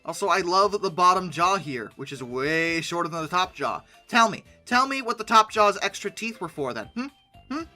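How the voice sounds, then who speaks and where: Animated, bright-voiced commentator, theatrically exasperated. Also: medium pitch, moderate pace. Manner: theatrical, exasperated, sardonic, with repetition for emphasis. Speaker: host of a paleoart commentary video. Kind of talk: humorous reaction commentary, part ranting. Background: background music.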